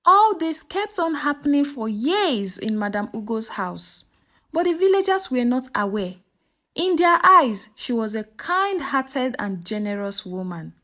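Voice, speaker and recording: Expressive high-voiced woman telling a story. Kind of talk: dramatic scripted folktale narration.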